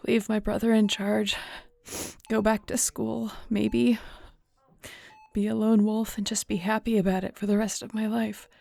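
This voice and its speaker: A woman's voice: mid-range voice, level tone, measured pace, gravelly, darkish tone, dry recording. A woman playing a troubled, stubborn young werewolf leader in an audio roleplay drama, speaking in an emotional, confessional way. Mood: weary, regretful. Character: troubled female werewolf alpha, hurt, stubborn, self-doubting.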